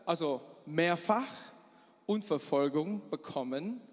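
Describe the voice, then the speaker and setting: A man from Malaysia preaches in a halting way, in short phrases with pauses and self-corrections. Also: on the high side, animated. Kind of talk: sermon with personal stories. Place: large church hall, live before a congregation. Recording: long hall reverb from a church PA.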